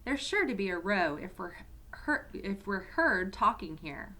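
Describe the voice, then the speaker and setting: A woman's voice: mid-range voice, very animated, unhurried, bright, forceful tone, full character voice. Woman reading as a timid little girl. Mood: anxious, timid.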